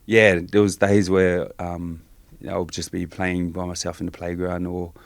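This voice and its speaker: A Samoan-born man, a Samoan speaker, answering interview questions softly and hesitantly with many fillers. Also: deep voice, flat delivery, normal speed, creaky, no echo. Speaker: guest.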